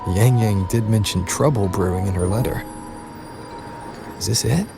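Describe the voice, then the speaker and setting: Game lead: young man, calm and measured, slightly low register, under loud music. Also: creaky. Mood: pensive. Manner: measured, reassuring. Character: calm, composed young male hero. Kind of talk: anime-style game voice acting.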